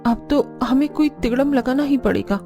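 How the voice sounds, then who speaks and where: A young, put-upon daughter-in-law from a family cartoon, voiced by a woman, high in pitch and full of schemes. She complains like a conspirator over faint music. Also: moderate pace, dark tone. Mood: scheming.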